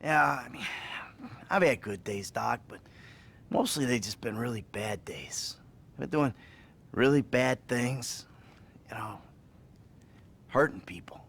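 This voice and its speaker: A man playing a troubled middle-aged American criminal in a video game, rambling, swearing and justifying himself in a husky voice. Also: medium pitch, lively intonation, unhurried, pauses often, a bit bright, heavily acted. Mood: remorseful, unsteady. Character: troubled, volatile middle-aged male career criminal confessing in therapy. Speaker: therapy patient. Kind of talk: naturalistic video game cutscene acting.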